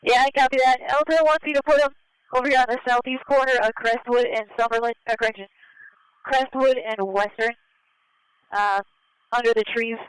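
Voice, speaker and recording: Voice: medium pitch, fairly even intonation, brisk, fairly bright tone, clean tone, dry recording, rough recording. A voice speaking over police radio, heard on a scanner.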